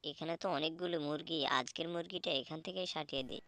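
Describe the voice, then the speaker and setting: A man's voice: a little high, brisk, bright, forceful tone, dry recording. A man playing a sly, hungry male fox in a cartoon folktale, scheming and self-satisfied. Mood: scheming, pleased.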